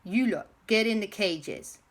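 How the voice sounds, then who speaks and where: A woman's voice: mid-range voice, measured pace, pitched above the performer's own voice, close to an everyday voice. A British woman voicing a super mean male criminal in a children's story, blunt, bossy and threatening.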